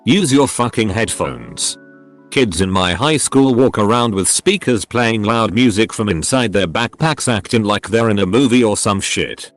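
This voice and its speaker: A man narrating, reading forum posts aloud in a steady, even delivery. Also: fairly low pitch, moderate pace. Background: background music.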